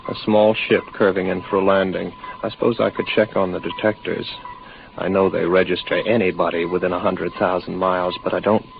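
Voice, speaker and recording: A man's voice: low-pitched, level tone, a little fast, gravelly. An actor playing a lonely, weary spaceman with a detached, wry, hesitant musing manner, in a 1950s radio drama. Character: weary lonely spaceman stationed on Pluto, doubting his senses. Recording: noisy muffled 1950s radio recording.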